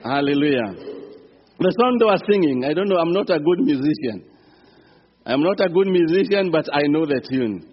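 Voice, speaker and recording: A man's voice: on the high side, expressive, slightly rough. A man from Kenya with a Kenyan accent, preaching as a visiting pastor in earnest, building fervor. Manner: earnest preaching, rising fervor, some halting restarts. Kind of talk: sermon. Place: church sanctuary. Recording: reverberant church hall, dull sound.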